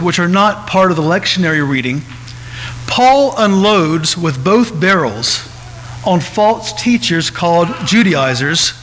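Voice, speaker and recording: High-voiced man preaching in a reverberant hall. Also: a bit bright, a little hiss or hum. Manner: explanatory, measured teaching delivery. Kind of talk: bible study sermon.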